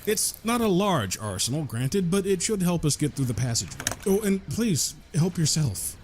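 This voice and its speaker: Over clear background noise comes a glib gentleman adventurer acted by a man in an audio drama. His voice sits on the high side and swings about in lively fashion. He is wordy and sardonic, teasing with mock politeness. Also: moderate pace. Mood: wry, composed.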